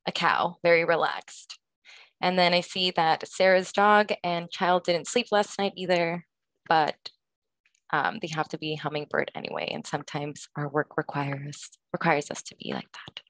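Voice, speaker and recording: A woman's voice: on the low side, fairly even intonation, moderate pace, a bit bright, no echo. A woman giving an informal presentation at an online conference session, speaking somewhat hesitantly with frequent fillers. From Los Angeles, California. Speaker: presenter, student organization advisor.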